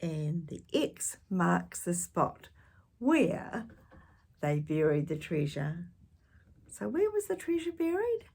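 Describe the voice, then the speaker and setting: A woman reading a picture book aloud to young children, expressive and dramatic, with gentle questioning asides. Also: on the low side, takes it slowly, creaky, husky. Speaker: children's storytime reader.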